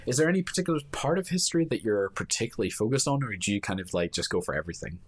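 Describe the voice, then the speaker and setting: A man hosting a relaxed podcast interview, his voice sitting on the low side. He is friendly and a bit halting, often restarting his questions. The sound is plain and close. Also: slightly rough. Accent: Irish or Scottish sounding. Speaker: podcast host.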